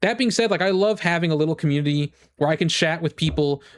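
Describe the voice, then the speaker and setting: A man's voice: a little high, fast. A man streaming casually to his viewers, rambling and repeating himself as he gives emphatic opinions in fan-rant style. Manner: rambling, with frequent "like" and repeated phrases. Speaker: game streamer.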